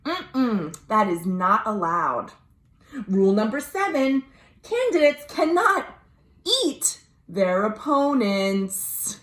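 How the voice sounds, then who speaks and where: An American woman reading a children's story aloud, expressive and dramatic, pausing to explain things simply. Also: medium pitch. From United States. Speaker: librarian, storytime host. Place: at home. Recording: roomy, echoey home recording.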